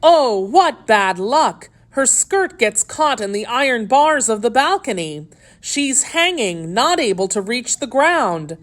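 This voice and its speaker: A woman's voice: a little high, big pitch swings, takes it slowly, pitched above the performer's own voice. A woman voicing a young boy who narrates a puppet show in a storybook, earnest, dramatic and exclamatory. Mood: excited, alarmed.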